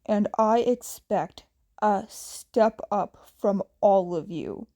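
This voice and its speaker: A woman doing a weary, gruff male teacher from a storybook, flat and curt. His voice sits low and mellow, slow and unhurried, as if he would rather be napping. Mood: stern. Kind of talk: fan audiobook reading.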